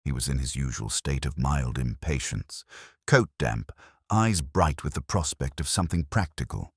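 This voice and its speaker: A man reading first-person mystery narration in a measured, brooding, literary manner. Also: rumbling low, animated, husky, dry recording, studio quality.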